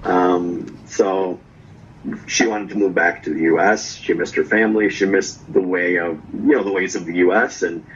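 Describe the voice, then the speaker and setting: Low-voiced man on a muffled call. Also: normal speed, a little hiss or hum. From Norway. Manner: relaxed storyteller, tags lines with "right?". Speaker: guest vlogger. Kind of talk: casual interview chat.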